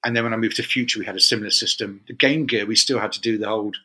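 A man's voice: on the low side, fairly even intonation, rapid-fire, pushed and bright, breathy edge. A middle-aged man from the UK chatting as an interview guest, rambling with lots of restarts. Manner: rambling, many restarts and self-corrections. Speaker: guest, games magazine editor. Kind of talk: casual interview chat.

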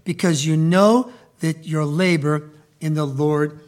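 A man whose first language is Italian, preaching a sermon as pastor: he reads scripture emphatically, prays softly, and speaks in a church building. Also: on the high side, steady tone. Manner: slow, emphatic reading building to loud proclamation; quiet, soft prayer voice. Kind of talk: sermon with scripture reading and prayer.

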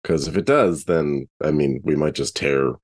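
A man's voice: very deep voice, normal speed. A man playing a tabletop roleplaying game, talking casually and reasoning things out aloud.

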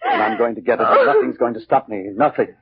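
A man's voice: medium pitch, wildly swooping pitch, quick pace. A man playing a greedy, domineering, suspicious husband with a harsh, commanding manner in a 1940s radio mystery. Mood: harsh, impatient. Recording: scratchy, muffled 1940s radio recording.

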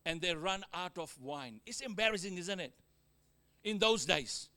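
A man with a Fijian accent delivers a sermon in a very high, piercingly bright voice. He punctuates his points with amens and call-outs to the congregation. He drops in joking asides and swings from loud declarations to near-murmurs. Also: lively intonation, moderate pace. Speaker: preacher.

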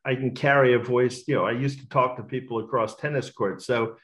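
A man's voice: mid-range voice, steady tone, brisk, fairly bright tone. A middle-aged man, talkative and self-assured, giving casual interview answers as a racecourse bookmaker. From Australia.

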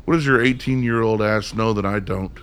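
A man's voice: on the low side, brisk, a bit bright, clear, resonant tone, natural voice. An actor playing a cynical, irritable, hungover city man in a radio play, sarcastic and combative. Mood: angry ranting.

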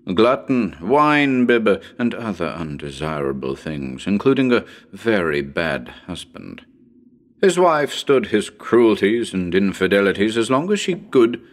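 A man reading as a genial, well-spoken radio presenter of a ghost hunt, with a measured, wry and formal manner. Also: low voice. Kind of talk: dramatic reading as live radio broadcast.

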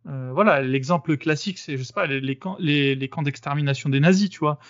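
A man on his own podcast talks through a debate in a loose, casual way. He hesitates a lot and restarts his sentences. His voice sits a little high and sounds dry and dull. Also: a little fast, fairly bright tone, dry recording. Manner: hesitant, many fillers and restarts. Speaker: podcast host.

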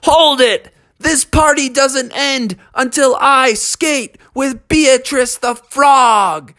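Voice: mid-range voice, expressive, measured pace, a bit bright, no echo, studio quality, voice pushed up. A storyteller voicing an earnest, clumsy young male frog who stammers, pleads and gets frantic. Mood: frantic, shouting.

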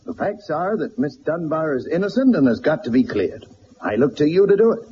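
An actor playing a rich, blustering, domineering middle-aged tycoon in a radio drama, blunt and imperious. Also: male voice, a little high, big pitch swings, a little fast. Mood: brash, insistent. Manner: forceful wording, blunt, imperious. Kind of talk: mid-century radio drama acting. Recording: muffled old radio recording, faint hiss.

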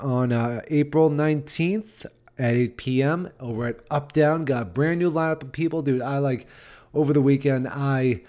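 A man's voice: medium pitch, darkish tone. An American man hosting a podcast solo, chatting in an informal, rambling and self-deprecating way. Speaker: podcast host and stand-up comedian. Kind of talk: casual solo podcast chat.

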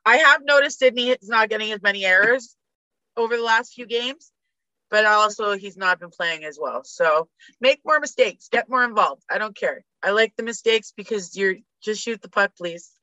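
A woman's voice: mid-range voice, normal speed, bright, forceful tone, no room sound, highs cut off. A woman guest on a sports podcast, chatting casually with blunt opinions and frequent laughter. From Canada.

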